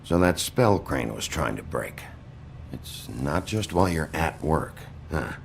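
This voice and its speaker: An actor playing a gruff, brooding sheriff in a video game, terse and halting, with a gravelly voice. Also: male voice, rumbling low, lively intonation, slight background noise, full character voice. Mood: probing. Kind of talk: video game dialogue acting.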